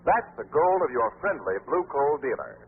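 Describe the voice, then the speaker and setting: A man's voice: medium pitch, very animated, normal speed. A man announcing in an emphatic, declamatory 1940s radio style, as for a mystery thriller series. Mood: earnest, reassuring. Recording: tinny, faintly noisy 1940s radio recording.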